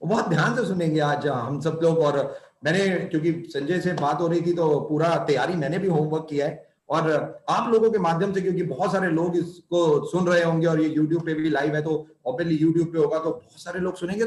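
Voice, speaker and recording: A man's voice: on the high side, moderate pace, hardly any pauses. A middle-aged man giving an earnest motivational talk to distributors, stressing points by repeating phrases. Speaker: company executive speaking to distributors. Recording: echoey room.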